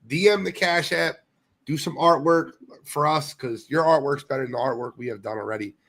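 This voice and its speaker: A man co-hosts a casual football podcast and sounds congested from a sinus infection. He speaks bluntly, swears now and then, and ribs the others. His voice sits a little high for a man. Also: fairly quick, creaky, fairly bright tone. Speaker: podcast co-host. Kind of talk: casual sports podcast chat.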